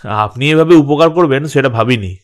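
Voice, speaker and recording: A man's voice: medium pitch, moderate pace, close to an everyday voice. A man playing a deferential, conspiratorial underling in an audio drama, respectful as he plots. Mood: eager, deferential. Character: deferential male underling plotting an attack.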